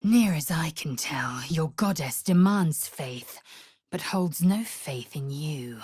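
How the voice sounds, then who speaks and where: An actress voicing a fierce, haughty alien warrior woman in a video game, with a husky voice and an imperious, clipped, disdainful manner. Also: sits low, lively intonation, slow pace, a little mellow, no room sound, clean studio sound, heavily acted. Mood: quiet menace. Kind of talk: video game character voice lines.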